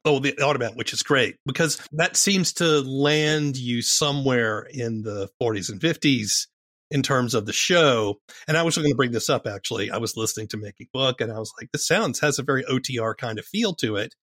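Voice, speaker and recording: A man's voice: mid-range voice, a little fast, a bit bright, no room sound, studio quality. A man interviewing as a podcast host, casual, enthusiastic and agreeable.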